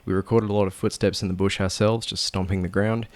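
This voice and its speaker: A man narrating a casual software tutorial in a low-key, matter-of-fact way, like a sound designer explaining his process. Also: level tone, fast talker.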